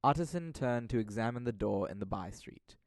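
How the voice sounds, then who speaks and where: A man's voice: mid-range voice, expressive, brisk, no echo. A young man reading scripted dramatic narration of a classic tale, as narrator of an audio drama. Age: young adult.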